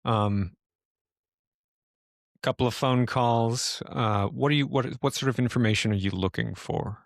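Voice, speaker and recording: Male game master, mid-pitched, casual and sweary, thinking aloud in a dry recording. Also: creaky, no echo. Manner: halting, profane. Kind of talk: improvised tabletop game narration and casual chat.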